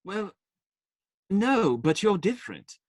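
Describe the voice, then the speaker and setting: A woman's voice: mid-range voice, very animated, slow pace, a little mellow, no echo, clean studio sound. A woman playing a young male outlaw in a radio play, smitten, defiant and idealistic, earnest but faltering when challenged.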